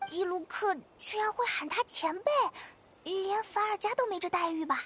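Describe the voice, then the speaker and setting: A woman voicing a small, curious, childlike fairy companion in a video game, with a squeaky, expressive voice. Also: normal speed, slight background noise. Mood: puzzled. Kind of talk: Chinese video game voice acting. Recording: music behind the voice.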